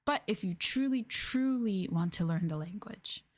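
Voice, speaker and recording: An American woman streamer chatting casually and softly to her viewers in an expressive, ASMR-style live chat. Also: medium pitch, normal speed. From United States.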